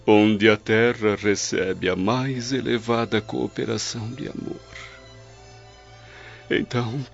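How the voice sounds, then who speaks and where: Slow, gravelly dying Roman nobleman. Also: lowish voice, exaggerated voice. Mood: earnest, humble. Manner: solemn, halting, pleading. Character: dying repentant Roman nobleman, older man, humble and devout. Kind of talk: old radio drama acting. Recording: dull lo-fi radio drama with music.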